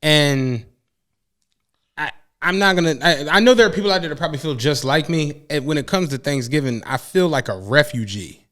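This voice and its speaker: A man's voice: fairly high pitch, expressive, moderate pace, a bit bright. A man in his thirties in casual, slangy, profane podcast banter as a co-host. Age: 30s.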